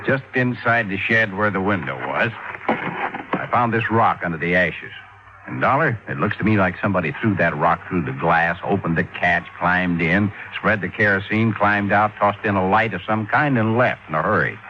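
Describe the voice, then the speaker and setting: Actor as a low-voiced, gruff fire chief. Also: male voice, normal speed, lightly acted. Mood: insistent, methodical. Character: gruff, methodical middle-aged fire chief. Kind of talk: 1950s-60s radio acting. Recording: muffled, noisy vintage radio recording.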